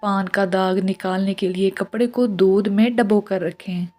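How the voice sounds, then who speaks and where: A woman narrates short scripted tips at a markedly slow pace. Her voice sits at an average pitch for a woman, and her delivery is measured and instructional. Music plays behind the voice-over. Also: level tone, a little mellow. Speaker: narrator of household and health tips.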